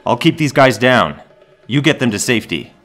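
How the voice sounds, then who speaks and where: A man playing a calm, protective hero in a superhero audio drama. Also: mid-range voice, normal speed, faint music behind. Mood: decisive. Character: calm, reassuring, protective adult male hero. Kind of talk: fan-made superhero audio drama acting.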